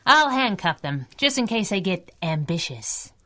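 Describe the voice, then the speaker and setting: A woman's voice: mid-range voice, sing-song, unhurried, bright, forceful tone. A woman playing a sassy, menacing masked crime-fighter, mocking and wisecracking in radio adventure style. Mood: breezy, smug.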